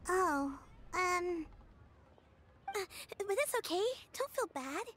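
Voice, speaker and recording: A woman's voice: very high voice, sing-song, slow pace, fairly bright tone, faint music behind, exaggerated voice. A woman voicing a cheerful, brave young girl who cares for her grandmother, upbeat and reassuring. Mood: earnest.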